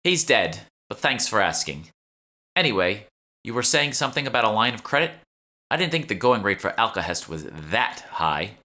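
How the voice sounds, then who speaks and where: A man's voice: on the low side, very animated, moderate pace, bright, forceful tone, voice pushed up. A man reading an audiobook, voicing a brusque, impatient young woman with a curt, sarcastic manner. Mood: dry. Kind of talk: audiobook character dialogue.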